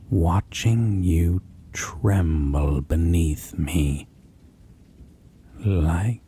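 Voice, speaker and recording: A predatory, possessive vampire, acted out by a man in an audio roleplay, with a deep, dark voice full of breath and husk. He taunts the listener in extremely slow, drawn-out phrases, slipping into whispers, menacing and domineering. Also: exaggerated voice. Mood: mocking contempt. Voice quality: husky, breathy, low.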